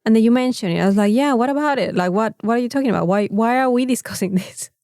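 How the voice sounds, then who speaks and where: A woman's voice: fairly high pitch, fast, darkish tone, no room sound, studio quality. A woman with a Mexican accent, a native Spanish speaker, co-hosting a casual podcast in a candid, exasperated, emphatic way. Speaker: podcast co-host.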